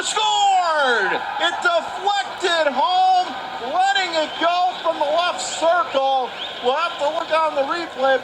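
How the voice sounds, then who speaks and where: A male sports commentator with a notably high voice calls a live hockey game. He speaks in short, clipped phrases and lifts into excitement on big plays. Clear rink noise runs behind him. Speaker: radio play-by-play commentator. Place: indoor ice hockey arena.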